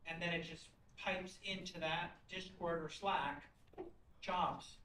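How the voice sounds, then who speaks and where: A man gives off-the-cuff critique at a pitch event. His delivery is blunt and rambling, full of questions and analogies. He is picked up from a distance in a roomy space with faint noise. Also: steady tone, takes it slowly, bright, forceful tone. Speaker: judge giving feedback on startup pitches.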